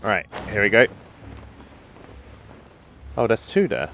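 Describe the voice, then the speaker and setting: A man's voice: on the low side, very animated, takes it slowly, creaky, a bit bright, soft background music, poor audio. A man hosting a casual game playthrough, thinking aloud with small interjections as he plays. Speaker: gaming video host.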